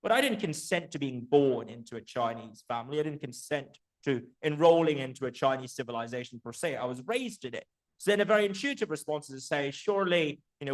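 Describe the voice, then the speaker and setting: A man's voice: medium pitch, low-quality recording. A man presenting an academic book talk in an articulate, erudite manner, posing rhetorical questions and often checking in with the listeners.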